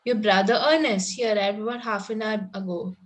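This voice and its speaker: A woman's voice: medium pitch, fairly even intonation, a little fast, a little hiss or hum, natural voice. A woman playing a sweet, eager, coaxing young Victorian girl in a play read-through. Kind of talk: amateur play table read.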